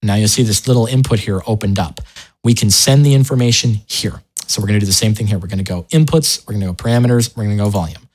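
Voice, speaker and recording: A man narrating a software tutorial in a casual, informal way, explaining each step as the host. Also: lowish voice, fast talker.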